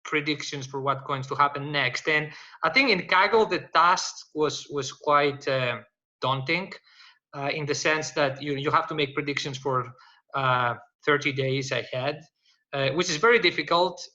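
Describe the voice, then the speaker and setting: Man, panel guest on data science, bright and forceful tone, halting delivery over dull call audio. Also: a little high. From Greece. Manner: halting, many fillers and restarts. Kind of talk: panel discussion, unscripted explanation.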